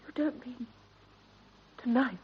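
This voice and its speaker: Shrewd, anxious British wife, earnest and deliberate, dull vintage radio sound with faint hiss. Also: female voice, a little high. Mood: concerned, tender. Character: worried, shrewd, loyal wife, adult woman. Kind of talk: period British radio drama acting.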